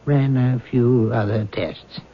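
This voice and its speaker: An actor playing a calm, probing middle-aged family doctor in a radio drama, speaking in a measured, questioning and firm way. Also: male voice, mid-range voice. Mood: measured, grave. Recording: muffled, noisy old radio broadcast.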